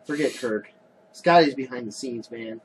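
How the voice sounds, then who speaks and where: A man co-hosting a casual podcast about comics, talking in an animated way and often restarting his sentences. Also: mid-range voice, moderate pace, over a noisy crowd, low-quality recording. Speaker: podcast co-host.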